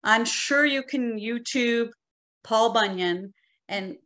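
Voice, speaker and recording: A woman's voice: a little high, takes it slowly, a bit bright. A middle-aged woman explaining things to an online club with enthusiasm and emphasis. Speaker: workshop presenter. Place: living room on a video call.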